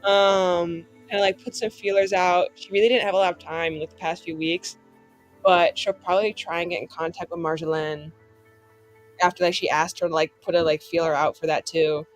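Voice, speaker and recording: A woman casually improvising her character's story as a tabletop game player, in animated, slangy speech peppered with "like". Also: fairly low pitch, moderate pace, soft background music. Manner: animated slangy chat full of "like".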